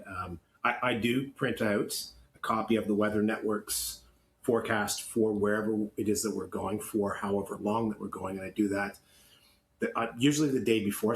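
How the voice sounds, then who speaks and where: A Canadian man co-hosting a podcast in casual, opinionated chat, blunt and grumbling, often halting and repeating words. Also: lowish voice, low-quality recording. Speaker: podcast co-host. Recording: clear background noise.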